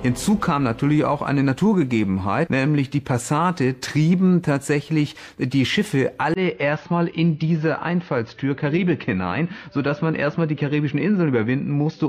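A man's voice: a little high, moderate pace, few pauses, a little mellow. A man explaining things as an expert in an unscripted interview.

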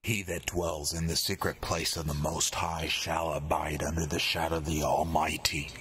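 Very deep, heavily processed metal-style man. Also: little pitch movement, a bit bright, breathy edge. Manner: slow, solemn, repeated lines. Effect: heavily processed metal-style vocal. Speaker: music producer. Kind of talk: dramatic scripture reading.

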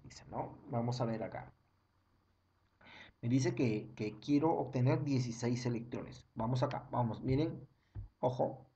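Young man, teacher, mellow tone, lo-fi webcam audio, repetitive step-by-step. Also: mid-range voice. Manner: repetitive, step-by-step counting, frequent "ojo" and "ya". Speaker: chemistry teacher. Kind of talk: online class lecture over slides.

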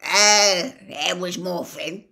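A woman voicing a gruff, aggressive, suspicious old man in a storybook reading, loud and belligerent. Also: on the low side, drawn-out words, full character voice. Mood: suspicious, indifferent.

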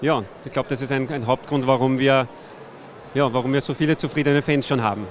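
A man's voice: medium pitch, fairly even intonation, a little fast, slight background noise. A man speaking hesitantly into a handheld mic, searching for words with many fillers. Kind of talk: event interview.

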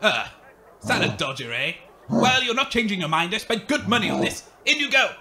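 A man's voice: high-pitched, big pitch swings, moderate pace, pushed and bright, slight background noise, heavily acted. A man playing a flustered, exasperated game show host who scolds his contestants, in a comedy audio drama. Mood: urging.

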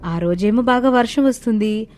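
A woman's voice: a little high, moderate pace, darkish tone, lightly acted. Woman playing a kind, outspoken young city woman. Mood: earnest. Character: kind, outspoken young female software engineer. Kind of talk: animated moral story character voice. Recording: background music under the voice.

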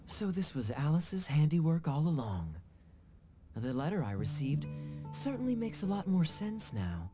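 A suave, teasing young man, a knight in a video game, played by an actor. His delivery is wry and swings expressively. Plucked guitar plays clearly behind him. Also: medium pitch, lightly acted. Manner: smooth-talking, wry.

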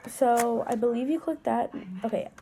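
A young woman vlogger with a stuffy nose from a cold, chatting excitedly as she unboxes things at a table. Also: a little high, moderate pace, slight background noise. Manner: chatty, excitable, rambling with fillers. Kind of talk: casual unboxing chat. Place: indoors at a wooden table.

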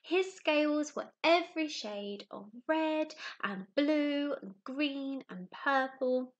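A young woman, a primary teacher doing story time for small children with expressive, simple phrasing and questions. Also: high-pitched. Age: young adult. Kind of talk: children's story time, talking to young pupils and reading aloud. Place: small room at home, indoors.

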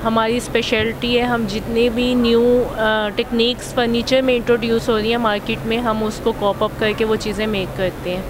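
Pakistani lady in an interview, steady highish voice, english words mixed in, hall noise behind. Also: moderate pace, hardly any pauses. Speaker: furniture company CEO, interviewee. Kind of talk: promotional interview answers. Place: indoor expo furniture stall. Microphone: handheld reporter microphone. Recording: noisy exhibition hall ambience.

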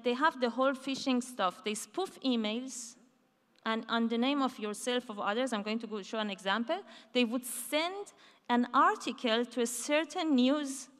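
A woman giving a conference keynote on a large dark stage, talking in a loose conversational way with fillers and restarts. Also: on the high side. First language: not Hebrew. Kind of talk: conference talk. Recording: hall reverb from a large stage.

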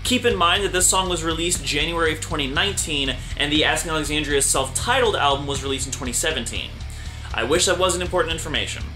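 A man's voice: on the high side, fast. Sarcastic young man over music. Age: young adult. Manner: sarcastic, jokey asides. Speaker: music commentary host. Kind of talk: casual commentary. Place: room with shelves of CDs.

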